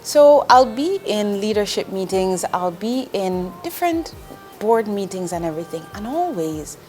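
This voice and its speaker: A woman's voice: mid-range voice, big pitch swings, measured pace, darkish tone, faint music behind. A woman in her forties, from Jamaica, speaking as an investment executive in an interview, earnest and direct, with ums and restarts. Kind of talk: candid interview answers.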